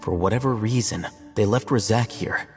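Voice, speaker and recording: An actor playing a composed, analytical male scholar and scribe in a game, explaining his deductions in a calm, measured, lecturing way. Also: on the low side, close to an everyday voice. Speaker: academy scribe. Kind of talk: game cutscene voice acting. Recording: reverberant with game music.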